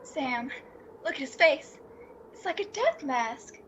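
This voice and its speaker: A woman acting as an anxious townswoman in a radio play. Her voice is high, over faint noise on a dull recording. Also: expressive, slow, fairly bright tone, heavily acted. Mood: frightened. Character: anxious townswoman on a night walk. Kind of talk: old-time radio drama acting. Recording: dull lo-fi audio, background ambience noise.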